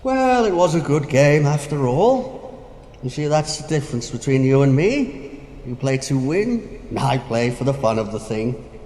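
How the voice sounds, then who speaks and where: An actor playing Death personified as a sly, taunting figure with grandiose, mocking declamation in a stage-like audio drama. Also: male voice, medium pitch, big pitch swings, measured pace, mellow. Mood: wry. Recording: echoey hall, faint noise.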